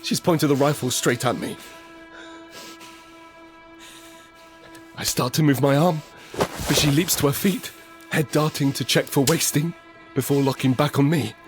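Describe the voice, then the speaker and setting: A man playing a frightened, desperate father in an apocalyptic audio drama, speaking with halting, intense urgency. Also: a little high, soft background music, lightly acted. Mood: tense, fearful. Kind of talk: first-person scripted audio drama narration with acted dialogue.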